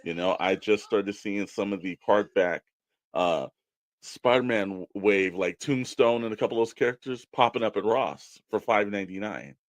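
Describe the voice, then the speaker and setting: A man's voice: lowish voice, moderate pace, no room sound. A man co-hosting a podcast, chatting informally and giving advice in a rambling, conversational way. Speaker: podcast co-host. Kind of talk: casual podcast chat.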